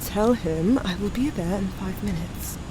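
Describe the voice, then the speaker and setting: A woman's voice: mid-range voice, a little slow, background noise, lightly acted. A woman playing an envious, irritable Victorian woman writer in an audio drama, theatrical and sardonic. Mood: furious.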